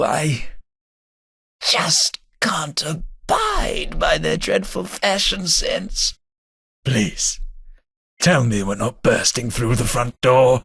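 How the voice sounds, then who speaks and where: An actor voicing a foppish, snobbish aristocratic companion in a game, with a languid, sardonic upper-class drawl. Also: male voice, mid-range voice, a bit bright, slightly rough, no room sound, studio quality, heavily acted. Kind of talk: game voice acting.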